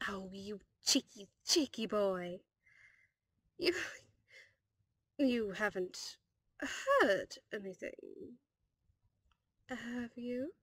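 Kindly older housemaid voiced by a woman, slow and husky, exclamatory, fondly teasing, for an ASMR roleplay. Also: mid-range voice, no room sound. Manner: gushing, motherly teasing, exclamatory. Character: kindly, fussy older female household servant to a lord.

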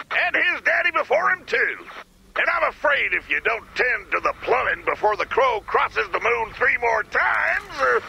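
A man voicing a folksy, self-important old janitor in a video game, raspy and portentous, through a radio filter. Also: extremely high pitch, sharp tone, a little hiss or hum, exaggerated voice, poor audio. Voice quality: raspy, creaky. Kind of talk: video game character dialogue.

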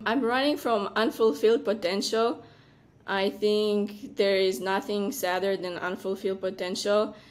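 A woman's voice: mid-range voice, steady tone, a little slow. A young woman from Romania with a Romanian accent, answering interview questions conversationally as a guest. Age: young adult. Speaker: podcast guest, venture capital partner. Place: room at home with bookshelves.

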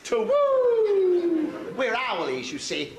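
A man's voice: very high-pitched, wildly swooping pitch, drawn-out words, creaky, crowd noise, exaggerated voice. A man playing a bossy, comic pantomime dame with an English accent, theatrical and exclamatory on stage. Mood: brisk, bossy. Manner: theatrical, exclamatory, addressing girls and audience. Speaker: pantomime performer. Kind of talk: broad British pantomime comedy acting. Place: theatre stage before an audience. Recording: echoey stage hall, music behind.

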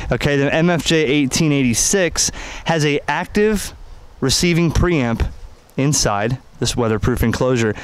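A man's voice: medium pitch, a little hiss or hum. A man casually explaining gear in an informal hands-on product demonstration, recorded outdoors from behind a handheld camera. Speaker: amateur radio product reviewer. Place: outdoors in a backyard. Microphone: handheld camera microphone, operator behind camera.

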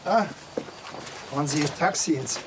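An actor playing a blunt, awkward middle-aged village policeman in a TV crime comedy, with a Bavarian accent and a halting, deadpan delivery. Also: a little high, very noisy, lightly acted.

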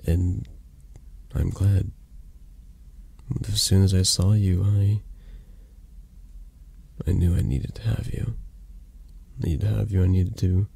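A man playing a flirty, possessive, tender mafia boss in an intimate ASMR roleplay, hushed and halting, drifting into whispers. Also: sits low, steady tone, vocal fry, slightly rough, exaggerated voice. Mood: adoring, possessive. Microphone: close microphone, dry sound.

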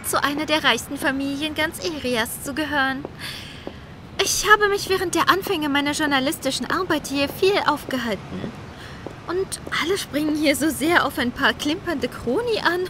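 A woman's voice: high voice, lively intonation, unhurried, noisy background. A woman playing a rich, flippant, gossipy journalist with a breezy, theatrical drawl in a German audio drama. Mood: breezy, smug.

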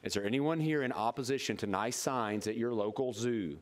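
A man's voice: medium pitch, fairly quick. A middle-aged man speaking formally as a board official at a public meeting, reading procedure into a podium microphone. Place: indoor meeting room.

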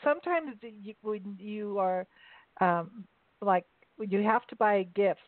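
A woman radio host chatting with her guest, quick to laugh and react. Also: medium pitch, dry recording. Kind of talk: casual radio interview chat. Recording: dry, tinny phone line.

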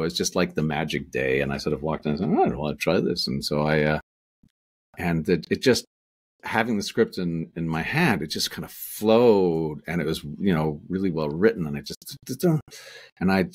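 A man's voice: sits low, husky. A middle-aged man, an actor, telling rambling stories over a video call in a small room at home. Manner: rambling storyteller, many restarts and asides. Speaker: actor and guest. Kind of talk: casual interview chat. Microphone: webcam on video call.

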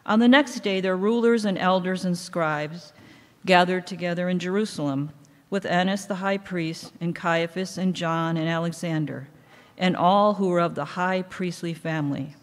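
Woman reading scripture in a reverberant church. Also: fairly low pitch. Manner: measured, steady reading cadence. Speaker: lay scripture reader. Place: church sanctuary, indoors. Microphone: microphone on a stand at a lectern. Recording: long church hall reverb.

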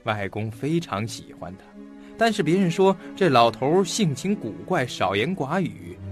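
A man's voice: medium pitch, very animated, normal speed, close to an everyday voice. Confident, talkative detective, acted by a man, music behind. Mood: assured storytelling. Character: shrewd, talkative, self-assured male detective recounting a case. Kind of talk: Chinese audio mystery drama acting, storytelling delivery.

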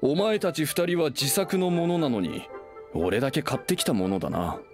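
A man's voice: on the high side, lively intonation, normal speed. A man voicing an earnest, plainspoken loyal friend in an anime game. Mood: puzzled. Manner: earnest, plain masculine wording. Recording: faint music behind.

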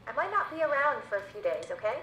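A calm station radio operator. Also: female voice, high voice, quick pace. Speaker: station operator in a sci-fi game. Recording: echoey game audio with faint noise.

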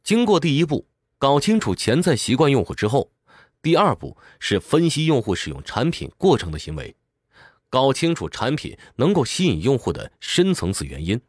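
A man's voice: mid-range voice, very animated, normal speed, no room sound, clean studio sound. A man reading a scripted explanatory book summary in a structured, instructive way.

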